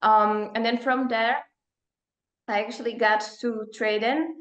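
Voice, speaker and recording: Czech woman, higher voice, roomy echo. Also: fairly even intonation, unhurried, fairly bright tone, highs cut off. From Czech Republic. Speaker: performance coach and psychologist. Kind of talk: casual interview talk.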